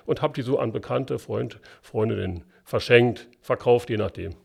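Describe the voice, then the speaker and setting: Man, Berlin accent, gravelly, husky, plainspoken, casual interview guest. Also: medium pitch, moderate pace, a little mellow. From East Berlin, Germany. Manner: plainspoken, frequent restarts and fillers. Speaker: works council chair, guest.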